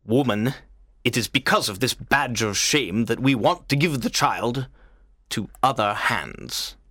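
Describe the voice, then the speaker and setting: A man's voice: mid-range voice, lively intonation, measured pace, pushed and bright, dry recording, clean studio sound. A man voicing a stern, judgmental governor in an audiobook, speaking formally. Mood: judging. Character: stern, judgmental governor, a male authority figure. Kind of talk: audiobook character voice.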